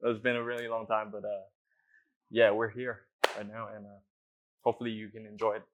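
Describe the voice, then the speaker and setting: Casual: chill young guy in an interview, low voice, pretty flat, laughs a bit. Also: brisk, background noise. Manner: laid-back, chuckling, loose with fillers. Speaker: actor.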